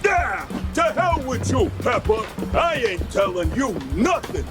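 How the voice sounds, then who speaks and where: Super high cartoon mobster henchman, mouthy and aggressive, swoopy pitch, blaring music behind. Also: very animated, a little slow, full character voice. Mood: defiant, taunting. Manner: belligerent, barking, taunting. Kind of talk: exaggerated cartoon game villain acting.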